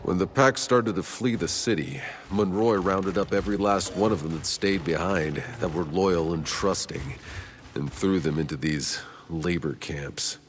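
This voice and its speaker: A man's voice: low voice, unhurried, a little hiss or hum. An actor playing a weary male veteran survivor in a video game, with a grim, heavy way of telling things. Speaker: game character. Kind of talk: video game cutscene acting. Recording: game mix with loud ambience.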